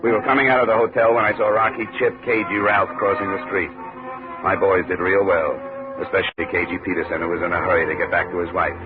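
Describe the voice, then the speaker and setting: A man's voice: low-pitched, fairly even intonation, fast talker, music in the background, natural voice. A man playing a tough, sardonic insurance investigator in a 1950 radio detective drama, with a clipped, wisecracking delivery. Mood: hard-boiled narrating. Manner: wisecracking, hard-boiled, clipped. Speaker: lead actor and narrator. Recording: tinny old 1950 radio recording.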